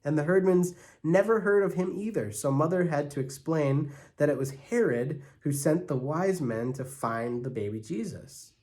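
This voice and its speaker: A man reading a storybook aloud in an animated narrating style. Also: a little high, moderate pace, few pauses. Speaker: church staff member reading aloud.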